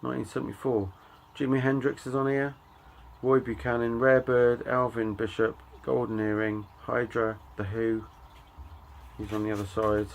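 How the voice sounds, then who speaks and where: A middle-aged man giving a casual show-and-tell of his collection, talking in a halting, offhand way and reading out titles in short bursts. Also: medium pitch, little pitch movement, gravelly, slight background noise, rough recording. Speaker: record collector and channel host.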